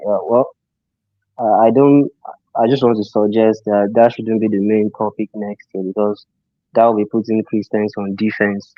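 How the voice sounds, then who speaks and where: A low, flat-voiced man speaks through a dull, lo-fi call line. He is earnest and halting, pausing on fillers, and has a cough. The sound is dry and close. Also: no room sound. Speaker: caller. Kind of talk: call-in suggestion. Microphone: phone or call-in line.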